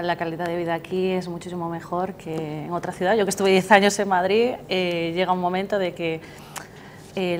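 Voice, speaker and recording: A woman with a slightly low voice speaks on a studio debate panel, pausing often on fillers. A faint hiss sits under her voice. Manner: hesitant, many fillers. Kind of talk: casual debate talk.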